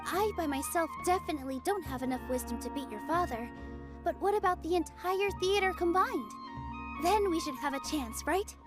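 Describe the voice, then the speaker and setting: A young female dancer in a video game, with a very high voice. She is gentle, polite and full of earnest hope. Music plays clearly behind her. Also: moderate pace. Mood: polite, hopeful.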